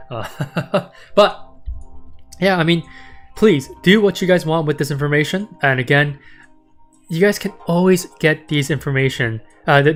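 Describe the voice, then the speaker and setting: A man hosting a stock market livestream in casual chat, his voice raspy and sore, rambling and repeating words, often tagging "right?" and "okay?". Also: fairly high pitch.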